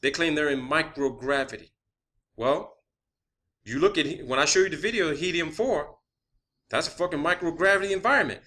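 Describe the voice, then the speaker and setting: Male online presenter, slightly high pitch, bright forceful tone, emphatic unscripted ranting with frequent swearing and rhetorical questions. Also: expressive, moderate pace. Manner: emphatic, insistent, swears often. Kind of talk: unscripted conspiracy theory monologue.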